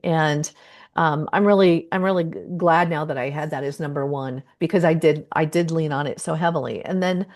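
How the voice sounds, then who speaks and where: Female guest advocate, low voice, candid and reflective, restarts phrases as she shares personal experience online. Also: a little mellow. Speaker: guest, patient advocate and cancer survivor.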